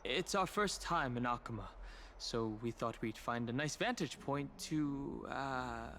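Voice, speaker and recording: A man's voice: medium pitch, lively intonation, a little slow, bright, forceful tone, a little hiss or hum. An actor voicing a young male traveler in a video game, talking in a dry, slightly awkward way. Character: wry young male traveler hiding his origins. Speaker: player character. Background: game music.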